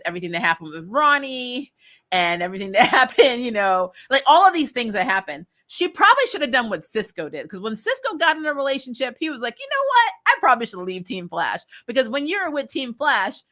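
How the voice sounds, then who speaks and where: A woman hosting a casual commentary video, giving blunt opinions in an animated, exasperated way. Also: a little high. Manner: exasperated, blunt and animated, laughing as talk goes. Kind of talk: casual opinionated fan chat.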